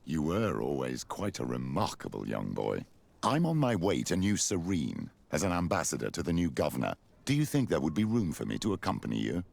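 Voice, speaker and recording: Very deep, gravelly older bishop. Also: male voice, huge pitch swings, a little fast, a little mellow, no room sound, full character voice. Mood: flattering, courtly. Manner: unctuous, courtly, theatrical. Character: older male bishop, flattering, smooth schemer.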